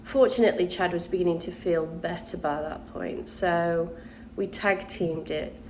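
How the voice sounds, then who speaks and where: A woman's voice: fairly low pitch, steady tone, normal speed, darkish tone, a little hiss or hum, rough recording. A woman host chatting casually and rambling a little, still congested after the flu. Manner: rambling, chatty, with restarts and ums. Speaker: channel host, witchcraft crafts teacher. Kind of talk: casual chat to camera.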